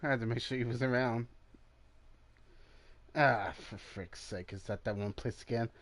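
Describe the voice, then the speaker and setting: A man's voice: medium pitch, moderate pace, fairly bright tone. A man giving casual gameplay commentary as a streamer, muttering asides to himself as he plays. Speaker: gaming streamer.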